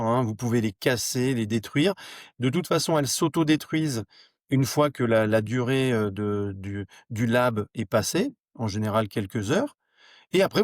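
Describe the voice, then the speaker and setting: A man talks as a guest on a tech podcast. His pitch is average and the sound is very dry. He explains things in a casual, conversational way. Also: dry recording. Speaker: podcast guest, product manager.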